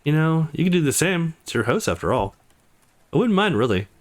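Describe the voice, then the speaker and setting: A man's voice: fairly high pitch, very animated, a little fast, voice pushed up. A man voicing a joking, friendly young man, eager and supportive, in a visual novel game. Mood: easygoing. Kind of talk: visual novel dialogue read aloud.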